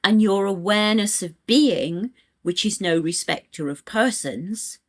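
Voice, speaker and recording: A woman reading a spiritual text aloud as scripted audiobook narration, in a measured, solemn and emphatic way. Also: medium pitch. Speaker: audiobook reader.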